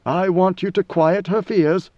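A man voicing a cold, commanding ancient ruler in a measured, lofty way. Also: high-pitched, steady tone, no room sound, muffled recording. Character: ancient imperious male god-emperor, coldly commanding.

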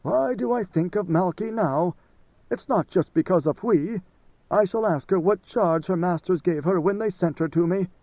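A man's voice: sits high, normal speed, no room sound, pitched above the performer's own voice. A man voicing an ancient, tormented God Emperor who speaks with anguish and command. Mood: quiet. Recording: dry, dull lo-fi sound.

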